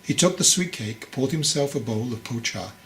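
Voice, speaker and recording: A man's voice: medium pitch, animated, normal speed, fairly bright tone, slight background noise. A man reading his own book aloud as scripted story narration.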